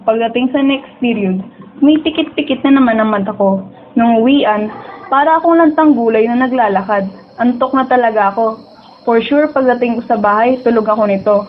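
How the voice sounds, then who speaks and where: Woman acting a dreamy, high-voiced Filipino schoolgirl, tinny sound. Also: slight background noise, lightly acted. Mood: drowsy, reflective. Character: dreamy teenage schoolgirl who prefers dreams to reality. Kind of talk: radio drama dialogue and first-person narration. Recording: tinny narrow-band audio with music behind.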